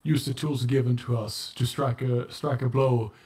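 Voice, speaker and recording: A man's voice: medium pitch, steady tone, moderate pace, dark tone. Guy w a mid voice, earnest, talking like his character at a game table. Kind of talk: casual chat, partly in character.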